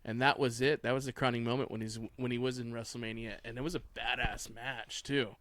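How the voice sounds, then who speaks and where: Man, medium pitch, dry close recording, casual panelist, opinionated, blunt, rambling, profane. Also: fairly even intonation, brisk, fairly bright tone, no echo. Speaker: podcast panelist. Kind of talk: casual opinionated sports chat.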